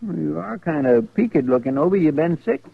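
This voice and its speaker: Creaky-voiced frontier deputy. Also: male voice, on the high side, animated, normal speed, dry recording, exaggerated voice. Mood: curious. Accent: rural American West. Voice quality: creaky, raspy. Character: plain-spoken, nosy male frontier deputy. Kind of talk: old-time radio western acting. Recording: tinny, narrow, dry old radio recording.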